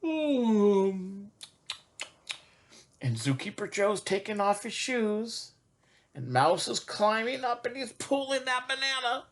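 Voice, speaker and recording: Slow, animated man reading storytime. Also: high voice. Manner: expressive, chatty, asking questions as he reads. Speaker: coach reading to young children. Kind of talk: children's picture-book storytime.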